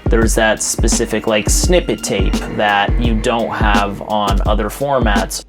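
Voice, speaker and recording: A man's voice: lowish voice, little pitch movement, slow, music in the background. A man from Los Angeles, talking casually in an interview as a collector. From Los Angeles, California. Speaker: music collector and shop owner being interviewed.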